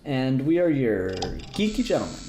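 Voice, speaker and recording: A man's voice: mid-range voice, sing-song, normal speed, creaky, dark tone, slight background noise. A man hosting a podcast in casual chat, easygoing and informal, with a slightly hesitant delivery. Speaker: podcast host. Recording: echoey room recording.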